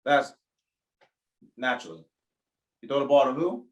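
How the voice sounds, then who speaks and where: Woman as a low-voiced deadpan radio straight partner. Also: normal speed, roomy sound, highs cut off. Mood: patient, deadpan. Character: unflappable, matter-of-fact comic straight partner. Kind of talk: 1940s radio comedy routine.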